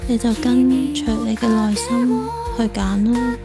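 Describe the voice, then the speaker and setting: Gentle young sweetheart, played by a woman, Hong Kong Cantonese, girlish highish voice, tender radio-drama delivery over prominent music. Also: level tone, a little slow. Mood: wistful. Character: sweet, gentle young woman, quietly self-doubting in love. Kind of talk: audio drama acting.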